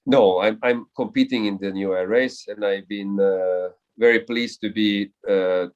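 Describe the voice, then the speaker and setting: A man's voice: on the low side, fairly even intonation, a little slow, a little mellow, dull audio. A man speaking as an air race pilot in a casual interview, earnest and reflective, who often stumbles and repeats words while searching for them.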